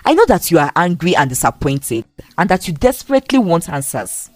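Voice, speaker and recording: A woman storyteller voicing a calm middle-aged woman mentor, measured and persuasive. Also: on the low side, no echo. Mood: calm, urging.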